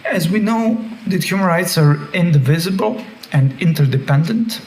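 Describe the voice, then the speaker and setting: A man from Serbia, a doctoral researcher giving a prepared academic lecture by video call in a measured, formal way. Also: high-pitched, expressive, a little mellow. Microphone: joining by video call. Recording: echoey call audio with faint noise.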